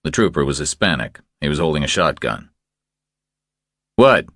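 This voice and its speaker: A man narrating a crime thriller audiobook in a measured, terse storytelling manner. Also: extremely low pitch, expressive, fairly bright tone, no room sound, studio quality.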